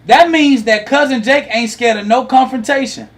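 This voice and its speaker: A man in his thirties hosting a lively talk, teasing and quizzing his online audience. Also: extremely high pitch, moderate pace. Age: 30s. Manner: animated, teasing, asks the audience questions. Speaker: host.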